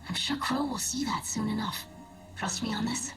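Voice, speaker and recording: A woman playing an earnest, hopeful young alien researcher in a video game, talking gently and sincerely. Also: a little high, moderate pace, faint music behind, lightly acted. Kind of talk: dramatic game dialogue. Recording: distant, with clear background noise.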